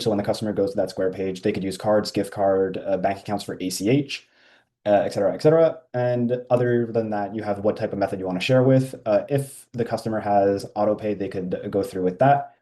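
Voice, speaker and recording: A man walking through a software feature in a casual screen-share demo, with frequent ums and uhs. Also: lowish voice.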